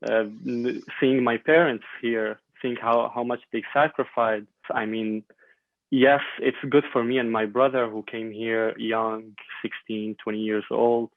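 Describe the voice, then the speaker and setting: A man in his twenties from Syria whose first language is Arabic, speaking as a podcast guest in a thoughtful, halting way with many fillers and repeats. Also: medium pitch, level tone, dry recording. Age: 20s. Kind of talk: podcast interview. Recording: dry tinny phone-like line.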